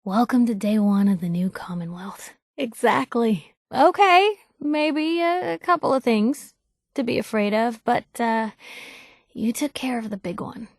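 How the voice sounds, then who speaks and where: A woman's voice: on the high side, lively intonation, measured pace, no room sound, studio quality. An actress playing a plucky, outspoken young woman reporter, a video game companion with a wry, folksy, punchy delivery. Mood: awed, earnest. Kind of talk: video game voice acting, short reactive lines.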